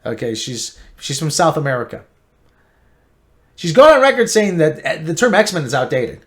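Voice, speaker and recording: A man giving an opinionated, emphatic TV review rant, repeating himself and swinging between loud outbursts and low grumbling. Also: big pitch swings, moderate pace. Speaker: online pop-culture commentator.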